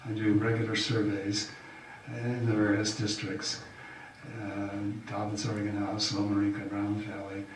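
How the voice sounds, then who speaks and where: An older man, an incumbent county official, giving a halting, folksy campaign speech full of fillers. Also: fairly low pitch, completely flat delivery. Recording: echoey hall from far off, faint hiss.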